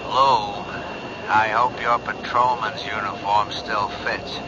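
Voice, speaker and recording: An actor playing a gruff middle-aged police captain in a 1970s radio drama, grumpy and dryly sarcastic. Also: male voice, on the low side, little pitch movement, slow pace, a crowd in the background. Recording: hissy lo-fi old radio broadcast.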